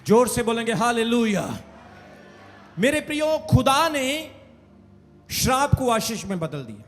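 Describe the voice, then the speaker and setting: A man's voice: very high voice, sing-song, measured pace, soft background music. A man with a Punjabi accent preaching an emphatic, repetitive call-and-response sermon to a congregation. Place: large church hall, preaching from pulpit. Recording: echoey hall with long reverb.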